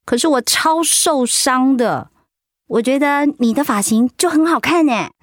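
A woman's voice: high voice, lively intonation, unhurried, no echo, studio quality. An actress playing an outgoing, candid middle-aged woman in a conversational radio drama. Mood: chatty, mock-hurt. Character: warm, outgoing middle-aged woman, breast cancer survivor.

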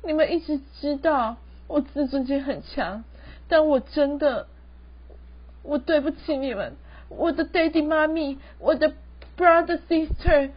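A woman's voice: high-pitched, measured pace. A teenage girl playing a proud, emotional young city wife who feels wronged, in an amateur radio drama with melodramatic delivery. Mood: despairing. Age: teens. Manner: melodramatic, code-switching English words into speech. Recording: muffled lo-fi recording with background music.

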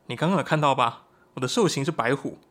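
An actor playing an earnest, excitable young shapeshifting tribesman in a Chinese audio drama, explaining things expressively. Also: medium pitch, quick pace, a little hiss or hum, natural voice. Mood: eager, excited.

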